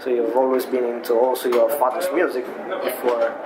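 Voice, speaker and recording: A man interviewing a singer, asking halting questions and giving short affirmations. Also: mid-range voice, little pitch movement, a loud crowd, poor audio. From Italy. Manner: brief backchannel replies, halting questions. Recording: background noise.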